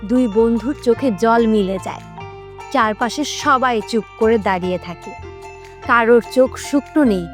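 A woman's voice: on the high side, normal speed, soft background music. A woman narrating a scripted story for children as a storyteller.

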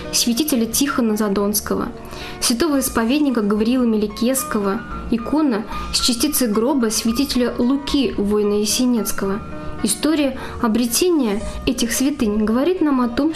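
A woman reading scripted documentary narration for a television program. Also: on the high side. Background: music.

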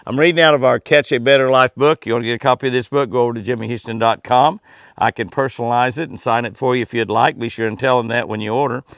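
A man's voice: medium pitch, vocal fry, fairly bright tone, no echo, clean studio sound. An older man hosting a daily devotional and fishing tip from outdoors by a lake, in a folksy, earnest way and repeating his key phrases for emphasis. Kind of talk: devotional reading and folksy preaching. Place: outdoors by a lake next to a cabin. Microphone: camera close in front, face framed.

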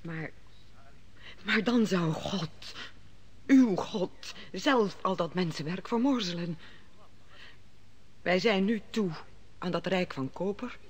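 A woman's voice: fairly low pitch, slow pace, long pauses. A demanding noblewoman. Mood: probing. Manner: probing, demanding questions. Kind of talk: 1950s radio drama acting.

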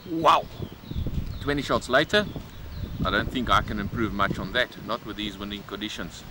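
A middle-aged man presenting a shooting vlog in a casual, expressive way, talking straight to his viewers. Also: on the low side, moderate pace, background noise. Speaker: host of an airgun shooting channel.

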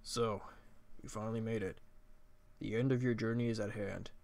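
A man voicing a laid-back skeleton from a video game, a joker and judge who speaks in casual, deliberate phrases. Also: lowish voice, steady tone, gravelly, darkish tone. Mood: solemn, grave. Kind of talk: character voice acting of video game dialogue.

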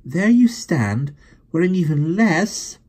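A man's voice: lowish voice, wildly swooping pitch, moderate pace, dark tone, slightly rough, voice pushed up, exaggerated voice. Man doing a teasing teenage girl. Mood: tart. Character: teenage girl, elder sister, tart and teasing.

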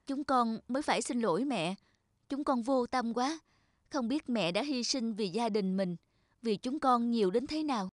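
A woman's voice: fairly high pitch, lively intonation, moderate pace, no echo, clean studio sound, close to an everyday voice. Woman playing a subdued, remorseful grown child. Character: remorseful, respectful grown child of elderly parents. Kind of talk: cartoon voice acting.

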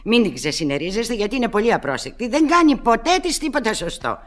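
Good-natured landlady mother, fondly scolding, very lively delivery, radio drama. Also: on the high side. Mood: scolding, apologetic. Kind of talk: Greek radio theatre acting.

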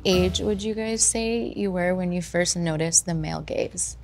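A woman's voice: on the low side, measured pace, gravelly, noisy background. A woman in a group chat who asks the others questions. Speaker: contestant. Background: music bed.

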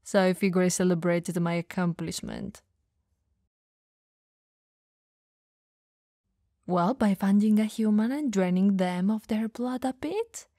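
A woman playing an elegant, dominant vampire lady who teases flirtatiously yet caringly in an ASMR roleplay, softly and breathily. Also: mid-range voice, no echo, clean studio sound, lightly acted. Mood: smug, amused. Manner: soft, breathy, slow teasing delivery, often dropping to whispers.

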